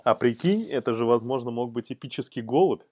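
A man's voice: medium pitch, lively intonation, brisk, darkish tone. A man co-hosting a comedy news show, mixing read-out items with playful, irreverent, slangy commentary. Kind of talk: news read-outs mixed with casual joking commentary.

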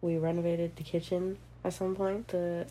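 A woman's voice: fairly low pitch, level tone, moderate pace, dark tone, poor audio. A young woman born in Puerto Rico and raised around New Orleans, describing her childhood casually with frequent likes. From New Orleans area, born in Puerto Rico. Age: young adult. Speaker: interviewee, younger sister. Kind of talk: casual personal storytelling.

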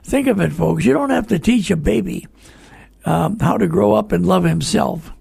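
An older man hosts a radio talk show in a heavily creaky, husky voice. He sits high in pitch for a man. His delivery is folksy and halting, addressed straight to the listeners. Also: darkish tone, dry recording. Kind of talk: conversational radio commentary.

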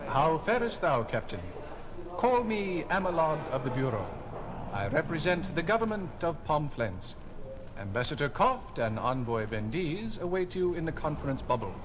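A man's voice: on the high side, sing-song, normal speed, a crowd in the background. A man playing a fussily polite alien government escort in a science fiction audio drama, quaint and ceremonious. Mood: courtly welcome. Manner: quaint, formal, ornate.

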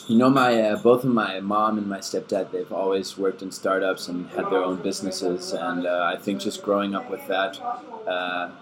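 A man in his twenties whose first language is German, answering interview questions in a casual, measured way and often repeating words while he thinks. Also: low-pitched, flat delivery, noisy background. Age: 20s. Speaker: podcast guest, fitness coach. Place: busy bar. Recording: bar crowd chatter behind.

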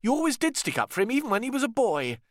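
A man's voice: extremely high pitch, huge pitch swings, fast talker, no room sound, studio quality, pitched above the performer's own voice, heavily acted. A man reading an audiobook, voicing an upset, resentful younger brother from a wealthy family in an emphatic, indignant way. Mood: bitter, accusing. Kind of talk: audiobook character dialogue.

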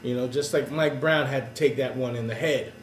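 A man's voice: mid-range voice, steady tone, brisk, roomy sound, far from the mic, a little hiss or hum. A man co-hosting a podcast in casual chat, teasing and provocative, rattling off lists of names. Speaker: podcast co-host.

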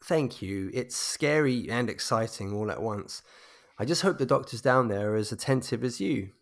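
Male actor as polite, anxious widowed-sounding everyman in mourning; medium pitch, halting, evasive, audio drama. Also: animated, close to an everyday voice. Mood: polite, guarded. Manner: hesitant, polite. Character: weary, anxious, politely evasive bereaved husband.

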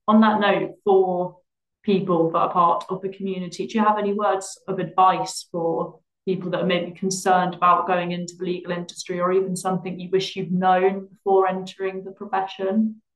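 Low, very even-toned female interviewer. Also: moderate pace, poor audio. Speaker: podcast host, interviewer. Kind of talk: podcast interviewing.

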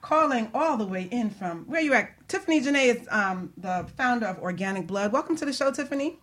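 Female co-host for casual radio chat; playful and giggly, youthful tone, highly animated intonation. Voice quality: youthful-sounding voice. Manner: giggly, playful, loose and halting. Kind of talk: casual chatty radio talk.

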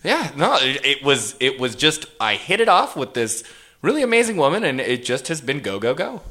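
A man playing a dazed, lovestruck delivery driver in improvised radio comedy, halting and rambling as he goes. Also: mid-range voice, very animated, sharp tone. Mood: flustered, evasive.

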